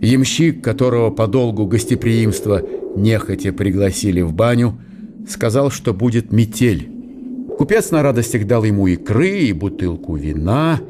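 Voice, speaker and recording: A man reading literary narration for an audio drama in a measured, storytelling manner. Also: fairly low pitch, expressive, noisy background.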